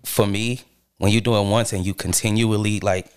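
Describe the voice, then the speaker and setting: A man from Charlotte, born in New York, talking as a podcast guest in an earnest, deliberate way. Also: on the low side, fairly even intonation, a little hiss or hum. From Charlotte, North Carolina, born in New York. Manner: earnest, deliberate, checks in with "right?". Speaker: guest musician. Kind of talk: casual conversation.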